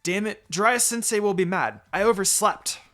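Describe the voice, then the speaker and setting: A man voicing a hot-tempered, excitable young ninja girl in a story reading, exclaiming impulsively, with music behind. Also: sits high, normal speed, pitched above the performer's own voice. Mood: flustered.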